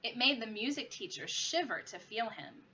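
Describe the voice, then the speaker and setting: Female teacher for children's read-aloud: bright, very lively intonation, slightly muffled lo-fi recording with noticeable noise. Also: on the high side, normal speed. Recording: muffled lo-fi with clear background noise.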